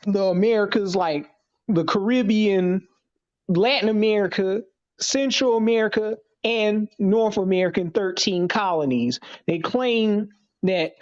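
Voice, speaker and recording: A man in his late twenties explaining his views in a halting, deliberate way as a guest in an online discussion. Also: high voice, muffled recording. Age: 20s.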